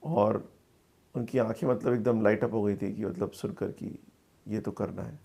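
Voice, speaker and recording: A man giving conversational interview answers about his work as a filmmaker, speaking in a halting, thoughtful way and mixing in English phrases. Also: on the low side, steady tone, creaky, mellow, husky. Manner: halting, thoughtful, with repeated words and pauses; mixes English phrases into Hindi.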